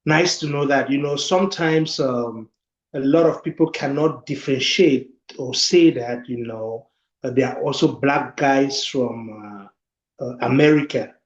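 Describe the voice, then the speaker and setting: A man's voice: medium pitch, unhurried. A man hosting an interview, asking short questions in a friendly, casual way. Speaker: interview host. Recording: echoey, dull-sounding room.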